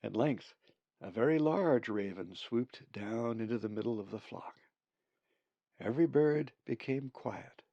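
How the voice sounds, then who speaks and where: A man narrating an audiobook of his own story in a measured storyteller's manner. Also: mid-range voice, animated, no echo, muffled recording. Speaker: author reading own book.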